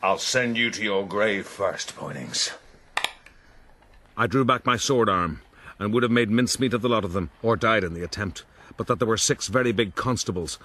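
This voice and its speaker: An actor playing a proud, roguish gentleman who narrates his own story in a florid, boastful, rueful way for a period radio drama. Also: low voice, breathy edge, exaggerated voice. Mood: bitter, defiant.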